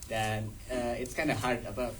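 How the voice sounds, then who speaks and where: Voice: mid-range voice, little pitch movement, unhurried, a bit bright, far from the mic, a little hiss or hum, low-quality recording. An actor answering at a premiere Q&A casually, laughing often and mixing in English. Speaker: actor at a film premiere press event.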